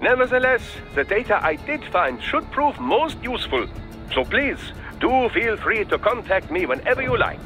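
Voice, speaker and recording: An eccentric male scientist from a video game, with a high, bright voice that swoops all over. He is fussy, eager and pedantic, phrasing everything formally and theatrically. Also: big pitch swings, moderate pace, soft background music, full character voice. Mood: smug, eager. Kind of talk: scripted game acting.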